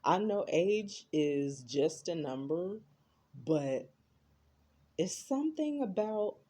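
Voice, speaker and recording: A woman's voice: lowish voice, dark tone. A woman, chatting to her viewers in a reflective, repetitive way. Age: 20s. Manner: reflective, chatty, repeats herself. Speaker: vlogger. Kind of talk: casual talk to camera.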